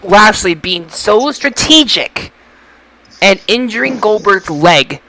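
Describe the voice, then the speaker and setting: A man with a fairly high, bright voice hosts a casual wrestling discussion. He stammers and doubles back on his phrases, over a faint background noise. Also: animated, slow pace, low-quality recording. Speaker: podcast host.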